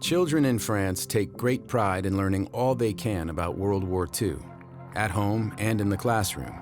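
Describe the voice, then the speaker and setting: Deep-voiced man narrating a documentary. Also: a little slow. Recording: voice-over with loud music behind.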